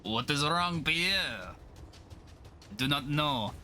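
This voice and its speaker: A man's voice: on the high side, animated, drawn-out words, bright, forceful tone, faint music behind, full character voice. A man voicing an alarmed, melodramatic plant worker in a dramatic comic-book reading. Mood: uneasy. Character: panicked French male atomic plant worker in the desert.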